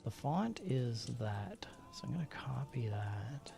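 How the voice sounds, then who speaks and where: A man's voice: medium pitch, sing-song, slow pace, gravelly, dark tone. A man streaming casually, muttering to himself and often dropping to a half-whisper as he works through settings. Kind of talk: casual livestream chat while troubleshooting settings. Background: music.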